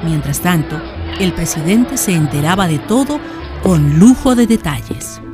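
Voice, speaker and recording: A woman's voice: lowish voice, animated, measured pace, over music. A woman with a Chilean accent reading scripted dramatic narration for a historical radio drama.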